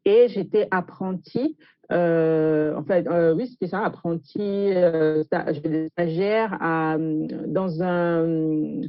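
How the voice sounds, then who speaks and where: A woman from Gabon speaking as a guest chef, recounting her background in an interview with drawn-out hesitations. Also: on the low side, no room sound. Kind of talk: autobiographical account in an interview. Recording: muffled lo-fi audio.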